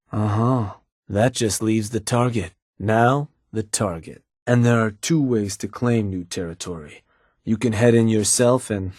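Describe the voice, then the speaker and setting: A man's voice: fairly low pitch, darkish tone, breathy edge, dry recording. An actor playing a laid-back male raider fixer who takes orders from his boss, speaking dry and matter-of-fact in video game dialogue. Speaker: gang fixer taking orders.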